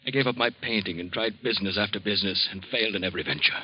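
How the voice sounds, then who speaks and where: Henpecked middle-aged husband, hesitant, subdued, lowish voice, dull old radio recording. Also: male voice, very fast, slightly rough. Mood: despairing. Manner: hesitant and subdued, turning bitter. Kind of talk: 1940s radio acting.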